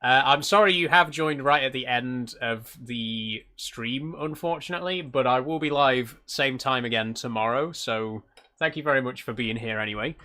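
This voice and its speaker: A man's voice: medium pitch, pushed and bright. A British man chatting casually and politely to viewers as a livestreamer. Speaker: live streamer. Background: background guitar music.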